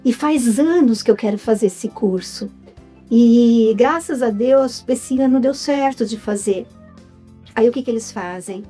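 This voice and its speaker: A woman's voice: on the high side, unhurried, warm tone, faint music behind. A Brazilian-accented woman, a guest in a casual interview, telling her story in an upbeat way. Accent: Brazilian Portuguese. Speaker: guest, writer and film producer.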